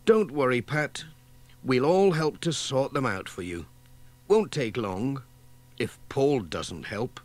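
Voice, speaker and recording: A man acts out an easygoing farm mum in a storybook. She sounds homely and unhurried, with a pitch that rises and falls freely. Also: animated, darkish tone. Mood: apologetic, fussing. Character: easygoing farmer's wife, homely mother of young children.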